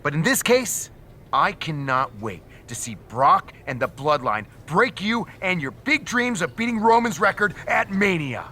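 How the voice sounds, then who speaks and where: A man's voice: on the high side, big pitch swings, unhurried, pushed and bright, a little hiss or hum, full character voice. A man acting as a smug, gloating wrestling general manager in a game cutscene, boastful and sneering.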